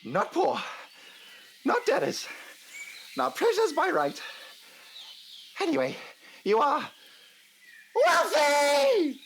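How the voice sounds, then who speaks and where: An actor playing an exuberant, eccentric investigator in an audio drama, emphatic and theatrical, fond of lists and repetition. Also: male voice, very high-pitched, wildly swooping pitch, slow, gravelly, pauses often, fairly bright tone, a little hiss or hum, full character voice. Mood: excited, jubilant. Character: exuberant, eccentric male investigator bursting with triumph.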